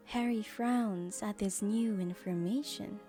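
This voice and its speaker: A woman's voice: mid-range voice, slow pace, warm tone, soft background music. A woman reading scripted narration as the narrator of an audio drama.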